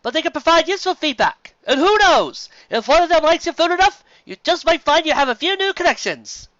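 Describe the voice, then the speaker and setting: A showy master of ceremonies pony in a storybook tale. The voice is high and forceful, proclaiming each phrase formally over a slightly dull recording. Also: male voice, expressive, fairly quick, no echo. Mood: encouraging.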